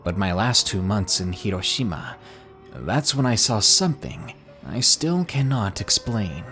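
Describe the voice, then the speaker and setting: A man narrates a ghost story in a measured, steady storytelling voice. His pitch sits on the low side. Faint music plays quietly under his reading. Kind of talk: scripted horror story narration.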